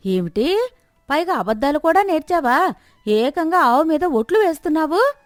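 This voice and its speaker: A woman voicing an angry village customer who scolds and accuses with sharp questions in a moral story. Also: high-pitched, very animated, normal speed, dry recording, soft background music, voice pushed up. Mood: indignant.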